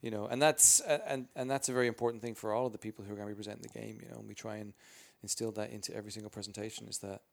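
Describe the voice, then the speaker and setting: Gravelly booming man, energetic rambling talk. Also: racing, few pauses. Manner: energetic, rambling, many restarts. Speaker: game franchise spokesperson. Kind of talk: casual interview answers.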